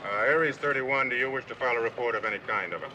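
A man's voice: mid-range voice, close to an everyday voice. A man playing a focused air traffic controller in a film, giving clipped, businesslike instructions with small hesitations. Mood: careful, official. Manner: measured, businesslike, with hesitant pauses. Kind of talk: clipped procedural radio talk in a film.

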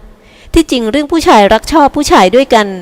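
A woman's voice: high voice, brisk, long reverb tail, voice pushed up, lightly acted. A woman doing a breezy, confident older sister. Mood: explaining. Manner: breezy and reassuring.

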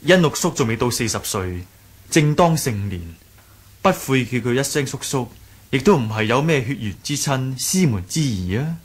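An actor playing an earnest, modest young martial sect leader in a radio drama, measured and courteous, with a Hong Kong Cantonese accent. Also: male voice, medium pitch, lively intonation, breathy edge. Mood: gentle, persuasive. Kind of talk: 1980s radio drama acting.

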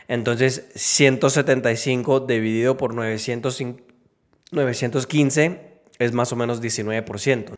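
Conversational man explaining to camera. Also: mid-range voice. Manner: conversational, checks in with tag questions. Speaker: video host. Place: small home room, indoors. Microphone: close desk microphone on an arm.

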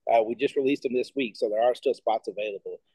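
A man's voice: mid-range voice, fast talker, mellow, husky, no echo, low-quality recording. A middle-aged man chatting casually as an interview guest on a video call, explaining his restaurant business. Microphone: video call, device at about arm's length.

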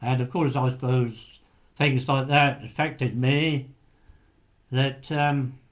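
An old former lighthouse keeper tells his memories at an unhurried crawl. He stops often, hunting for words between fillers, his voice sitting at an ordinary middle pitch. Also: level tone. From England. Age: older. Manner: halting, hesitant, many pauses and fillers. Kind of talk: recorded wartime recollection.

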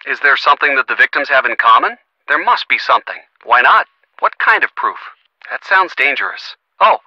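Supportive young boyfriend, video game, sharp bright tone, dry thin phone sound, earnest, wry. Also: male voice, mid-range voice, dry recording, muffled recording. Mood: worried, protective, then friendly, teasing. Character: young man, a detective's supportive, teasing, protective boyfriend on the phone. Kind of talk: video game voice acting, conversational.

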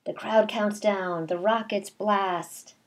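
A middle-aged woman, a kindergarten teacher, reading a picture book aloud in a deliberate, expressive way with asides to young children. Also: mid-range voice. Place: small bedroom at home, indoors.

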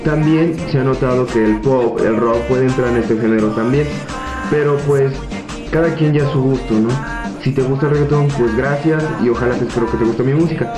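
A man's voice: mid-range voice, brisk. Mexican teen boy in an echoey room. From Puebla, Mexico. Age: teens. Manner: soft-spoken, hesitant with many fillers. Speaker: young reggaeton artist. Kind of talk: self-interview to webcam. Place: small indoor office. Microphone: webcam at desk. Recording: echoey room with music behind.

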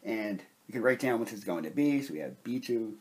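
A man with a slightly husky voice giving a methodical, step-by-step explanation as a science instructor at a whiteboard. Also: lowish voice, lively intonation, brisk. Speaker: chemistry instructor.

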